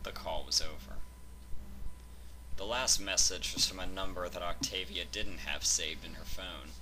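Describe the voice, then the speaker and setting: A man's voice: low-pitched, unhurried, sharp tone, rough recording. A man reading a scripted fan fiction story as its narrator.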